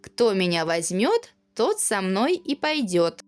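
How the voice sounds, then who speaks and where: A woman's voice: mid-range voice, big pitch swings, takes it slowly. A woman voicing an eager little talking pie in a fairy tale, singsong and inviting.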